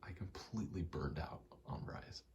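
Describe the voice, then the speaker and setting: A soft-spoken man rambling casually in ASMR style, meandering and murmuring, often dropping toward a whisper. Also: deep voice, moderate pace. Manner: meandering, self-correcting, casually sweary. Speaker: video maker rambling over gameplay. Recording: faint background noise.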